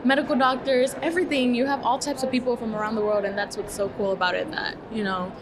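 A woman's voice: on the high side, level tone, quick pace. A young woman student from Texas, chatting in an upbeat way with fillers during a street interview. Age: young adult. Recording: background noise.

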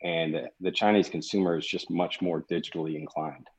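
A man who grew up in Europe, a guest executive talking casually in a podcast interview, fast and conversational with frequent restarts. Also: deep voice, steady tone, breathy edge.

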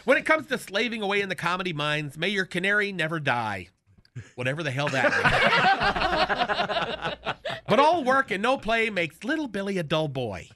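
A man's voice: high voice, very animated, normal speed, pauses often, noisy background. A man hosting a radio comedy show, delivering a teasing, sarcastic roast. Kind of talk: comic roast monologue. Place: radio studio with laughing cohosts.

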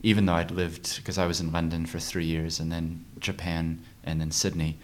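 A man's voice: deep voice, flat delivery, moderate pace. A man who grew up in New Zealand, hosting a casual interview and prompting his guest with frequent short agreements. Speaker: host.

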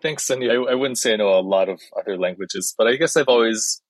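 A man's voice: lowish voice, fast, dry recording. A man born in China and raised in the US, speaking, answers interview questions as a translator, thoughtful and hedging, with frequent ums and restarts. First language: Chinese. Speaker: guest translator.

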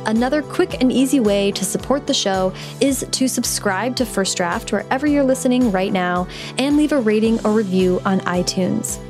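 A woman hosting a podcast, reading her intro and then chatting with a guest in an upbeat, quick way. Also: on the high side, over music. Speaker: podcast host. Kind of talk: scripted intro then casual interview chat.

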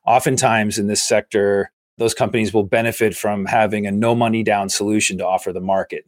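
A man in his forties from Massachusetts, a guest explaining his work in a steady, measured way during an interview. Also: lowish voice, dry recording. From Massachusetts, USA. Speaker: guest, infrastructure investor. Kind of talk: conversational interview.

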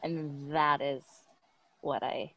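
A young woman with a high, youthful-sounding voice, talking frankly from her apartment on a call, laughing often and swearing casually. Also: steady tone, slow, highs cut off, a little hiss or hum. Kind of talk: casual chat.

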